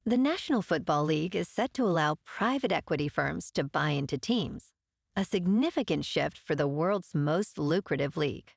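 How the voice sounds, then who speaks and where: A woman hosting a local morning news podcast, reading a script in an even, smooth way. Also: lowish voice, no echo, clean studio sound.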